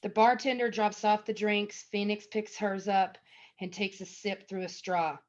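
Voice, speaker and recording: A woman's voice: mid-range voice, level tone, a little slow. A woman narrator reading out screenplay stage directions as scripted narration. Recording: dull lo-fi audio.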